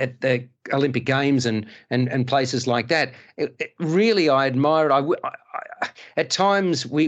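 A man's voice: medium pitch, dull audio. A male podcast host and film critic, chatting casually and reviewing films with many restarts. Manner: stammering restarts, animated.

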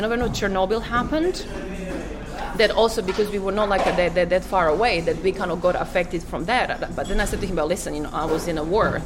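A woman's voice: lowish voice, noisy background. Chatty woman from Croatia, music behind. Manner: halting, many fillers and restarts. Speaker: interviewee, cafe business owner. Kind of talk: casual interview chat.

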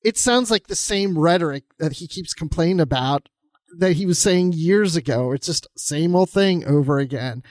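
Man, podcast co-host, somewhat high pitch, casual, opinionated, conversational, dry recording. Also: normal speed, a little mellow, dry recording.

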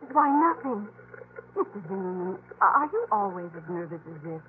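An actress playing a resolute, quick-witted young frontier woman held captive, speaking earnestly with a dry edge in a 1950s radio drama. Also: medium pitch, sing-song, slight background noise, natural voice. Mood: feigned calm. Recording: muffled narrow-band 1950s radio broadcast.

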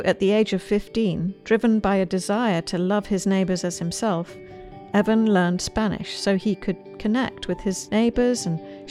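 A woman hosting a show, delivering a scripted, earnest introduction in a measured way. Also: medium pitch, darkish tone. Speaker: host.

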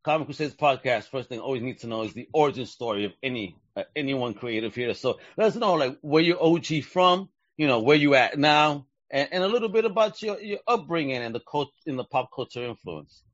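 A man's voice: mid-range voice, animated, a little fast, a bit bright, no room sound. Man, podcast host, middle-aged, slangy, hyped, dry close sound, lo-fi. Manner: hyped, slangy, peppers talk with Spanish greetings. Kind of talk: casual interview chat. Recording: dull lo-fi sound.

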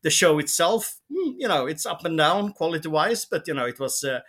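A man's voice: on the high side, animated, fairly quick, a bit bright, slightly rough. A middle-aged man with a Swedish accent, a native Swedish speaker, talking casually as a podcast guest and often repeating words as he searches for a phrase. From Sweden. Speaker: guest, television producer and researcher.

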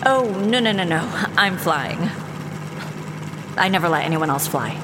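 A woman's voice: lowish voice, expressive, measured pace, background noise. Cocky American woman, long echo. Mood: cocky, sarcastic. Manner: breezy, sarcastic, assertive. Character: cocky, self-assured American woman pilot. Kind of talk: audio drama acting.

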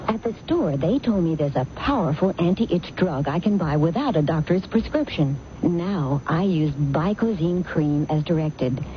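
A woman's voice: low-pitched, expressive, a little slow. A woman giving a scripted testimonial in a radio commercial. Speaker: commercial spokeswoman. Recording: muffled radio broadcast, faint noise.

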